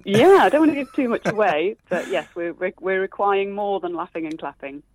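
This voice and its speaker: A woman's voice: medium pitch, lively intonation, fairly quick, dry recording. A woman chatting in a casual radio interview as an amateur stage performer, explaining things in an agreeable way. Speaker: amateur theatre actor being interviewed. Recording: thin phone-like radio line.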